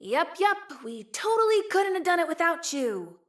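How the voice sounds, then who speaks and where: A woman's voice: extremely high pitch, big pitch swings, normal speed, fairly bright tone, heavily acted. A young woman voicing a playful, streetwise, wry frontier woman with a tail in a video game. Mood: upbeat, cheeky. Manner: teasing, sly, drawling, sighing between wry remarks. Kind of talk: video game character voice lines.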